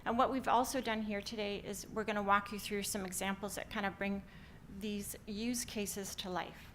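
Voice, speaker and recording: A woman's voice: medium pitch, fairly even intonation, normal speed. Canadian woman giving a conference talk, upbeat, lots of ums, bit of hiss behind. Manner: upbeat, many "um" fillers. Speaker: company founder presenting. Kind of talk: conference presentation.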